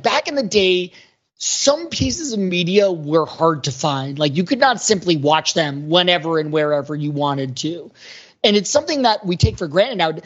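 A middle-aged man in casual podcast conversation, talking emphatically and often checking that listeners agree. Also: high voice. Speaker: podcast panelist. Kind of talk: casual chat. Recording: dull lo-fi voice recording.

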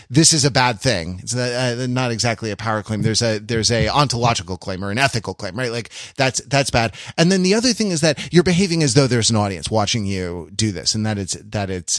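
A man co-hosting a casual discussion podcast, speaking in a halting, analytical way and often correcting himself. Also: mid-range voice, no echo, studio quality. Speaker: podcast co-host.

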